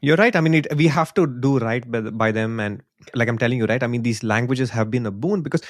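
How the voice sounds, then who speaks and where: A man's voice: mid-range voice, fast, no echo, clean studio sound. A man from India in a casual podcast chat, speaking earnestly and thinking aloud. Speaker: podcast co-host. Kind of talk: casual conversation.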